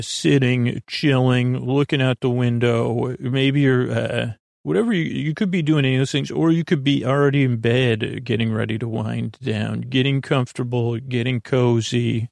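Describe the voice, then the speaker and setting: Gravelly, rambling male podcast host. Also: a little mellow, no room sound, clean studio sound. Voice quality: gravelly, creaky. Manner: meandering, hesitant, self-interrupting, off on tangents; self-deprecating, low-key. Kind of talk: rambling, unscripted bedtime monologue.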